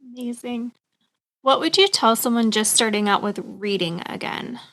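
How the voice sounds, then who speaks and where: A woman's voice: on the high side, moderate pace, a bit bright. A woman hosting an author interview, giving short approving replies and asking questions. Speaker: interviewer, host.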